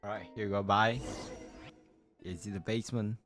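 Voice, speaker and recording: A woman's voice: rumbling low, steady tone, moderate pace, faint music behind. A woman streamer reacting casually to a game in an exclamatory, giggly manner.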